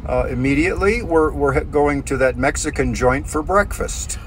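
A man's voice: on the high side, normal speed. A man chatting casually at a forest campsite, dry and easygoing. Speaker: vlogger's partner. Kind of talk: casual chat. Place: outdoors in a pine forest campground. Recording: distant from the mic with loud outdoor noise.

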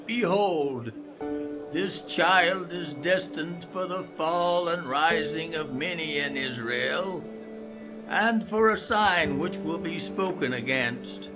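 Slow, solemn old prophet. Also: male voice, on the high side, music in the background, rough recording. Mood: solemn prophecy. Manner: slow, reverent, declamatory. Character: devout aged Simeon, solemn, awed prophet of the temple. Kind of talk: Bible audio drama acting.

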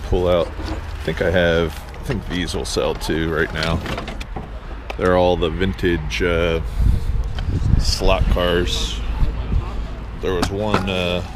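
A man's voice: sits low, level tone, slow, long pauses. A man selling at an outdoor flea market, thinking aloud and chatting casually with customers while filming himself. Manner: rambling, thinking aloud with fillers. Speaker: flea market vendor vlogger. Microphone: body-worn action camera. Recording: outdoor camera audio with loud noise. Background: loud outdoor ambient noise.

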